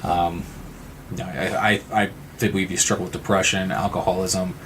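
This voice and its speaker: A man speaking as a guest in a conversational interview, halting and often repeating words and restarting. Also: sits low, flat delivery, fairly bright tone, a little hiss or hum. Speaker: guest from a suicide-loss nonprofit.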